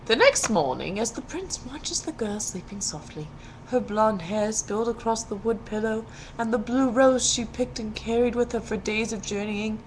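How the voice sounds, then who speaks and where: A woman's voice: medium pitch, a little hiss or hum, low-quality recording. A woman storyteller reading a fairy tale aloud in a playful, theatrical way, with casual humor.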